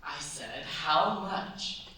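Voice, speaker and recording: A man plays a probing, money-minded gentleman in a staged period radio drama. He is smooth-talking and insinuating, with a bright tone. The recording is distant and heavily echoing, as on a theatre stage. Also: a little high, expressive, extremely slow, exaggerated voice. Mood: blunt, calculating. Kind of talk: staged radio play acting. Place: theatre stage at a cultural centre. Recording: very echoey stage, distant mic, faint noise.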